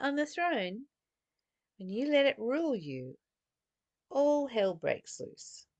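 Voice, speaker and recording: Female meditative teacher, very unhurried, close dry sound, affectionate direct address, short stressed phrases. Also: mid-range voice, no echo. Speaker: spiritual teacher. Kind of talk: spiritual teaching talk, intimate and meditative.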